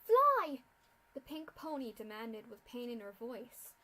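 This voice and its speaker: A woman reading a dark fan fiction story aloud in steady narration. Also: fairly high pitch, moderate pace.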